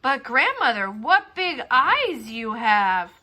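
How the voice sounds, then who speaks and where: A woman's voice: fairly high pitch, very animated, takes it slowly, pushed and bright, full character voice. A woman voicing an innocent, trusting little girl in a storybook tale, with a sweet singsong manner. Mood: puzzled wonder.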